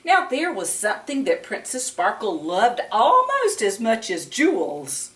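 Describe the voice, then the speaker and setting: Animated, slow-paced woman telling children stories. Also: on the high side. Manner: animated, sing-song, addressing children with questions. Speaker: children's storyteller and Bible teacher. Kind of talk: read-aloud storytime talk to young children. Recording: roomy echo, distant microphone.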